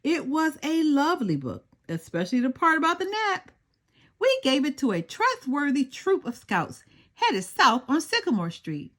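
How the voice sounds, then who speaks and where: A woman's voice: high-pitched, huge pitch swings, normal speed, fairly bright tone, pitched above the performer's own voice, full character voice. A woman voicing a helpful young mother in a children's storybook, earnest and emphatic. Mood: apologetic.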